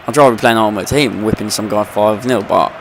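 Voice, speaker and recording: A man's voice: lowish voice, fast, creaky, crowd noise. A young man with a slight cold, ranting in casual gameplay commentary and swearing freely. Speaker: gaming video host.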